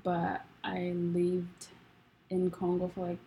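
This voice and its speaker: Young woman, low gravelly voice, unhurried, hesitant guest. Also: completely flat delivery, slight background noise. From Rwanda. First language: not English. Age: young adult. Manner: soft-spoken, hesitant, many fillers and restarts. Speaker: guest, college student. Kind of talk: casual conversation.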